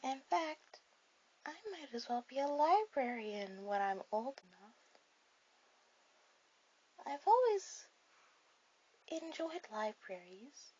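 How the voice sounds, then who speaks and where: Woman voicing a high-pitched shy anime girl. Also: very animated, takes it slowly, long pauses, heavily acted. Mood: gently chatty. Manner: soft-spoken, hesitant, gentle. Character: shy, sweet, bookish teenage anime girl on a date. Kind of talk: ASMR romantic roleplay addressed to the listener. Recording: dull lo-fi audio with clear hiss.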